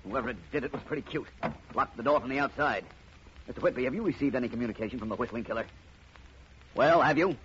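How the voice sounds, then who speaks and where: An actor playing a shrewd, confident gentleman detective in a vintage radio mystery, crisp and commanding. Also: mid-range voice, fast talker, pauses often, lightly acted. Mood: brisk, puzzled. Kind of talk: 1950s radio drama acting. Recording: muffled vintage radio recording, faint noise.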